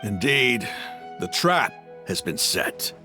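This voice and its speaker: Hearty, animated desert mercenary. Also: male voice, fairly low pitch, takes it slowly, over music. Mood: resolute. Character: loyal male desert mercenary, hearty comrade-in-arms. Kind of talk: video game voice acting.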